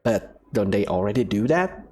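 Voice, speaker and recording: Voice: low voice, sing-song, moderate pace, mellow. A cautious young male student, as a voice actor plays him, hesitant and easily worried. He stammers and questions everything, his voice moving up and down with unease. It sounds like a character from a fan-made visual novel. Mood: wary.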